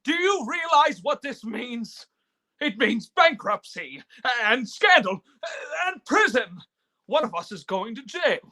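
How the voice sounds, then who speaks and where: A man's voice: very high-pitched, big pitch swings, a little slow, bright, forceful tone, exaggerated voice. A man playing an agitated, irritable father and husband in a radio play reading, with a stammering, emphatic delivery. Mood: frantic, angry.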